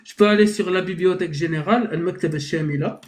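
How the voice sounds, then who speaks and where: A man with a notably high voice hosts a live debate over a video call. He talks hesitantly, circling back and restarting his sentences. He keeps reassuring the others, calm and conciliatory. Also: fast talker. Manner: hesitant, many restarts and fillers.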